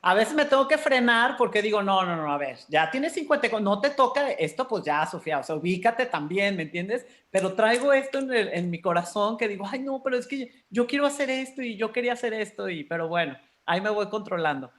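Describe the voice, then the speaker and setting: A woman in her fifties speaks Spanish with a Mexican accent at a middle pitch. She is an interview guest sharing personal experiences in a candid, conversational way, with an expressive delivery that often acts out her own thoughts. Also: brisk, hardly any pauses. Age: 50s.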